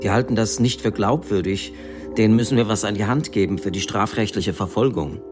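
A man plays a defiant former soldier testifying in court, combative and insistent as he pushes back against the charges. His voice sits on the low side, with faint music underneath. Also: a little fast, natural voice. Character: defiant middle-aged male ex-soldier defendant, combative. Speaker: actor reading a defendant's court statement.

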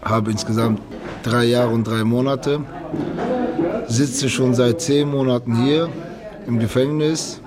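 A man of thirty-five with a medium-pitched voice gives a casual interview account. He talks in simple, colloquial phrases and often leaves out small words. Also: fairly even intonation, slow pace, pauses often, a crowd in the background. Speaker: prison inmate being interviewed.

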